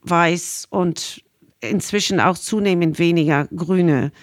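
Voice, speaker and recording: A woman's voice: on the low side, slow pace, no echo. Middle-aged woman reminiscing, dry close sound. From Ireland. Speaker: interview guest, marine scientist. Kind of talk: conversational storytelling, reminiscing.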